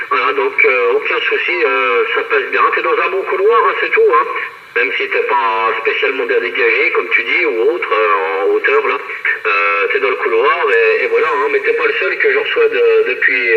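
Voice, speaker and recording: Frenchman over a dull, echoey radio link. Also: medium pitch. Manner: rambling, repetitive. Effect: radio transmission. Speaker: CB radio operator. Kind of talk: casual radio chat with signal reports. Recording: dull, roomy two-way radio reception.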